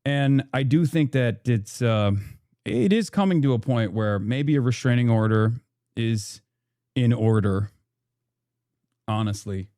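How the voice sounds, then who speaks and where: A man giving casual commentary in measured, slightly hesitant phrases. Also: medium pitch, warm tone, dry recording, clean studio sound.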